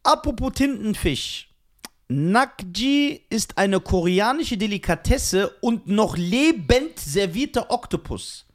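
A man from Iran co-hosting a casual podcast chat, reading entries from a list aloud with mock drama. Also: high-pitched. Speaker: podcast co-host.

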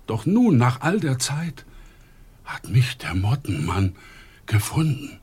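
An actor playing a haunted, secretive man in a forest in a radio drama, speaking in a hushed, ominous way with a husky voice. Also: medium pitch, sing-song, slow pace, heavily acted.

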